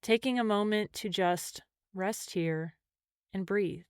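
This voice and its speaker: A woman leading a guided meditation as a mindfulness teacher, speaking gently and in measured phrases with pauses. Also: mid-range voice, clean tone, no room sound, studio quality.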